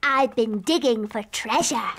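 A woman playing a bossy, excitable little girl princess in a cartoon, with a childlike voice and dramatic, emphatic delivery. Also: a little high, a little slow. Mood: proudly playful.

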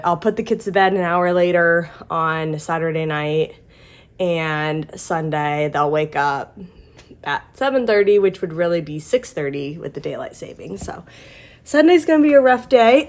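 A woman's voice: on the low side, a little mellow. An American woman in her thirties chatting casually to a handheld phone as a parent vlogger. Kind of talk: casual vlog chat. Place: indoors at home, then outdoors in yard and driveway. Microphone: handheld phone camera. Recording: clearly noisy background.

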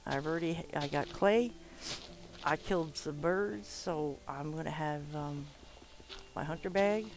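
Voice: mid-range voice, noisy background. A nasal, stuffed-up gamer chatting casually through a let's play, rambling with lots of fillers and the odd swear word. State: stuffed-up from swimming. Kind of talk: casual gameplay commentary.

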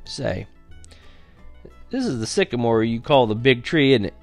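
A man reading aloud and voicing a calm, kindly father who speaks slowly and reasons gently. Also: mid-range voice, soft background music.

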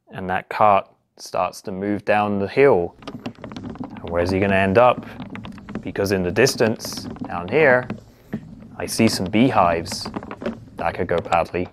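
A man reads a story aloud to young children in simple, expressive narration, posing questions and exclaiming as he goes. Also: fairly low pitch, slight background noise. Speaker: police constable reading a children's story. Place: small indoor office or home room.

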